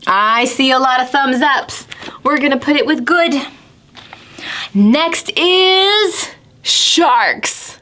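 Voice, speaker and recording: A woman presenting storytime to preschoolers, asking simple questions and stressing key words deliberately. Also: high-pitched, expressive, sharp tone. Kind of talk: storybook reading and talking to young children.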